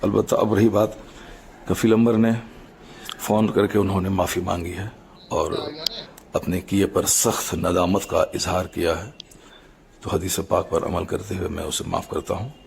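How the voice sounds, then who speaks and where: Very gravelly, low-voiced man. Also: a little hiss or hum. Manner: formal, measured, halting in short phrases. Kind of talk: explanatory public statement.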